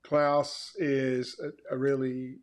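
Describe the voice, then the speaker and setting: Mid-pitched man, halting author co-host. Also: little pitch movement. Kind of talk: informal explanatory talk.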